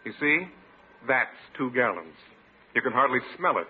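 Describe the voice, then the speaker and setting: An actor playing a wisecracking, weary young service station owner, sardonic and needling, in an old-time radio comedy. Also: male voice, medium pitch, sing-song, normal speed, natural voice. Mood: exasperated. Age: young adult. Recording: tinny vintage radio, faint noise.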